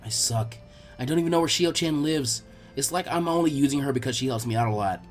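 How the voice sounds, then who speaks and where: A man voicing a brash, excitable young ninja boy in a fan fiction reading, with blunt, whiny delivery. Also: medium pitch, fast talker, soft background music, pitched below the performer's own voice, lightly acted. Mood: dejected.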